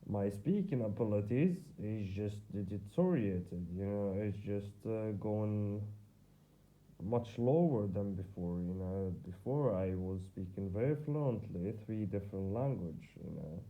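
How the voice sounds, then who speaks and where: Tired guy, arabic first language, lowish voice, lots of um and you know, swears when fed up, muffled lo-fi audio. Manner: halting and repetitive, full of fillers and restarts; aggrieved, with bursts of swearing. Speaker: vlogger. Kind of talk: personal video diary.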